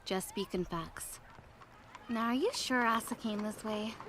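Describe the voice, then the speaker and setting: A woman's voice: mid-range voice, a little slow, very noisy, natural voice. A woman playing a brash, defiant girl in an anime audio drama, flippant and blunt.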